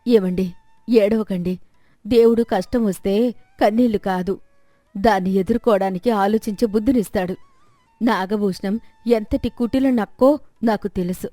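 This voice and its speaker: A woman playing a clever, confident village wife, calm and reassuring, in a dramatized moral story. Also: mid-range voice, normal speed, long pauses, no echo, soft background music, lightly acted. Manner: reassuring, composed.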